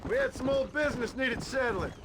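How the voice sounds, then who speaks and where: A man's voice: very high voice, fairly quick. Stern rancher father, American drawl, middle-aged, weary, dry, video game Western, noisy outdoor ambience. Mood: weary, measured. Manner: terse, dry frontier drawl. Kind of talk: video game cutscene acting. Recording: outdoor noise of horses and wagon.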